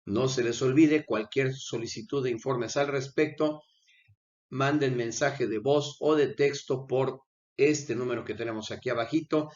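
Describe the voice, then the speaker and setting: A man's voice: mid-range voice, measured pace, a little mellow. Unwell man, lo-fi commentary. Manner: earnest, pleading requests to viewers. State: unwell, with coughing fits. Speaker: channel host. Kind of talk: conversational commentary over a video.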